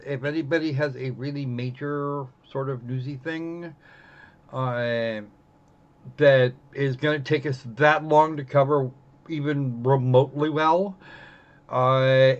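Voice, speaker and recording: A man's voice: medium pitch, steady tone, moderate pace. A man hosting a live game stream in casual chat, rambling and often correcting himself mid-sentence. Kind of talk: casual livestream chat while gaming. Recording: dull, lo-fi voice recording.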